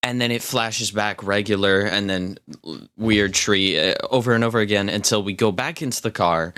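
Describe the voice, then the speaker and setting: A man's voice: fairly low pitch, moderate pace, fairly bright tone, smooth. A young man giving casual, slangy reaction commentary as a video host, with puzzled asides.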